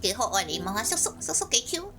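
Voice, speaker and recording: A woman's voice: on the high side, very animated, fast. A woman with a Hong Kong Cantonese accent giving playful, chatty reactions as she livestreams a game. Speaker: game streamer. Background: game music and game audio.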